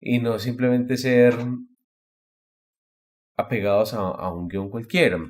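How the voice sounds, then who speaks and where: A man hosting a casual podcast chat about anime, explaining things in a rambling, halting way with repeated words. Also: mid-range voice. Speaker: podcast host.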